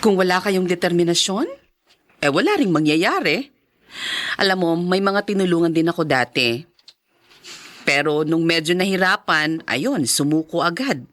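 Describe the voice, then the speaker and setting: Expressive, low-voiced motherly woman. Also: moderate pace, pauses often. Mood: encouraging. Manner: concerned, motherly, encouraging. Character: caring, encouraging female benefactor, addressed as ma'am. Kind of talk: Filipino podcast radio drama acting.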